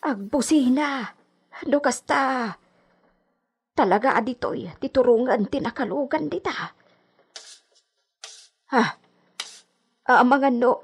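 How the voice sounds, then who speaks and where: A woman's voice: high voice, slow, pauses often, breathy edge, full character voice. A woman playing an anxious, easily flustered country woman in a radio drama, exclaiming and muttering to herself. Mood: startled, flustered.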